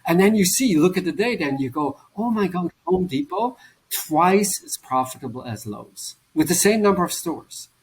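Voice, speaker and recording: A man from German-speaking Switzerland with a Swiss German accent, an author answering interview questions in a lively, explanatory way. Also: sits high, moderate pace, vocal fry, rough recording. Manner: animated, explanatory, laughs often. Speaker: author and professor.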